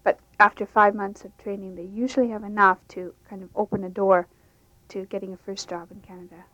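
A woman's voice: mid-range voice, level tone, brisk, a bit bright, no echo. Plain-spoken woman instructor, lo-fi. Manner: plain-spoken, explaining with false starts. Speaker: English language instructor. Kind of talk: interview answers, unscripted. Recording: dull lo-fi radio recording.

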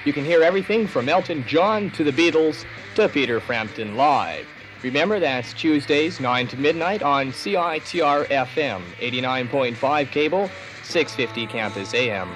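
A man's voice: a little high, moderate pace, low-quality recording. A man reading a radio station promo with an upbeat, lilting delivery. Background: music.

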